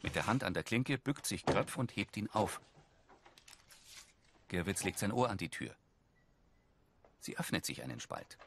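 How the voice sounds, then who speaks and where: A man's voice: lowish voice, sing-song, a little slow, long pauses, very noisy. A man reading scripted narration as an audio describer for a television drama.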